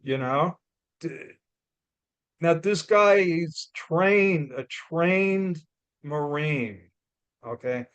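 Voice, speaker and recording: A man with a New York accent giving a loud, blunt, emphatic motivational talk as a guest speaker. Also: on the high side, very slow, pauses often, smooth, no echo, muffled recording.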